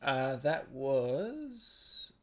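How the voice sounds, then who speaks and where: A man's voice: medium pitch, sing-song, extremely slow. A man hosting a casual video-call interview and reading out viewer questions in a laid-back, informal way. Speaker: interview host, gaming YouTuber.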